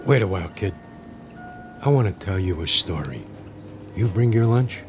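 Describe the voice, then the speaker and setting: A man's voice: sits low, animated, normal speed, over music. An actor playing a nostalgic middle-aged working man who talks in a folksy, clipped way in a radio drama. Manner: folksy, reminiscing, clipped casual phrasing.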